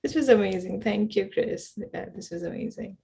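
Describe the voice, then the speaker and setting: A woman, speaking haltingly with many fillers and repeated words on a video call. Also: medium pitch, creaky, warm tone, breathy edge. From Nepal. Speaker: workshop participant. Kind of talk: casual remarks in a group call.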